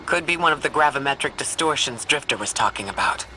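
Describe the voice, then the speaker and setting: An actor playing a calm, analytical mission guide and scientist in a video game, speaking in a measured, articulate, earnest way. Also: male voice, medium pitch, slight background noise, rough recording. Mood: intrigued, watchful. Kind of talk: scripted game dialogue. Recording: music behind the voice.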